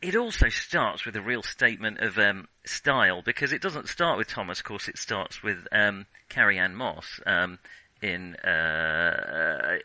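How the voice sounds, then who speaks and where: A man's voice: fairly low pitch, lively intonation, a little slow, bright, forceful tone, dry recording, rough recording. A man co-hosting a casual film discussion, thinking aloud in a hesitant way. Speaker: podcast co-host.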